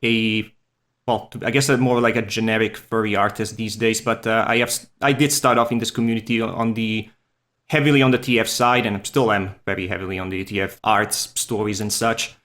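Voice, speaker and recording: A man's voice: on the low side, fairly even intonation, a little fast, a bit bright. Easygoing man with a Swiss Italian accent. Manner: easygoing, rambling, self-deprecating. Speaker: podcast guest, furry artist. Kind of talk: casual interview chat.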